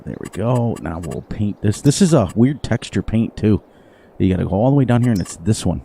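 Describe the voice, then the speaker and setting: A man streaming a farming game live, chatting casually with viewers, his voice a little hoarse. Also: on the low side, expressive, fairly quick, dark tone, a little hiss or hum. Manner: rambling, playful, sing-song repetitions. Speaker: gaming livestreamer. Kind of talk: casual live gameplay commentary.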